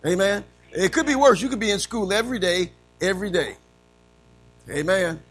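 A man teaching Sunday school in a folksy way, his voice a little raspy from allergies, dropping in amens often. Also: high voice, normal speed. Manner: punctuates with frequent amens, calls to the class.

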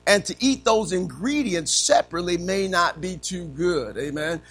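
A man teaching Sunday school in a folksy way, his voice a little raspy from allergies, dropping in amens often. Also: high-pitched, normal speed, a bit bright, faint music behind. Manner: punctuates with frequent amens, calls to the class.

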